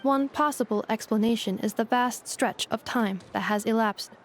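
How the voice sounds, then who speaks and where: A woman's voice: a little high, normal speed, slight background noise, lightly acted. A woman playing a polite young female robot helper in a video game, speaking in a formal, precise, analytical way. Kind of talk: scripted game dialogue.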